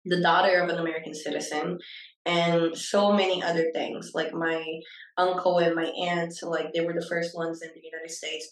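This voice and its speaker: A woman's voice: on the low side, reverberant, far from the mic, poor audio. A young adult woman from Brazil, a podcast guest talking casually and filling her speech with "like". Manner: chatty, frequent filler "like". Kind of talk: casual conversation.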